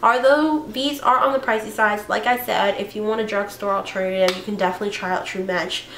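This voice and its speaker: Young female vlogger, hoarse with a cold, chatty and rambling; echoey room, faint noise. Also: medium pitch, fairly even intonation, fairly quick, a bit bright. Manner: chatty, rambling, with fillers and self-corrections. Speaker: beauty vlogger. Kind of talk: casual makeup tutorial chat.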